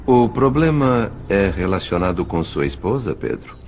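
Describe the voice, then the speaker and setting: A Brazilian man playing a compassionate spirit consoling a friend in an audiobook drama, with gentle, solemn and formal phrasing. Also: sits low, big pitch swings, normal speed, slight background noise. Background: music.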